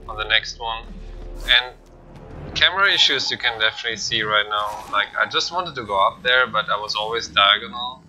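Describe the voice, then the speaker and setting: Low-voiced man commenting over game music. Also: a little fast, pushed and bright, poor audio. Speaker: video game streamer doing a showcase playthrough. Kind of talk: casual gameplay commentary. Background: game music and game sounds.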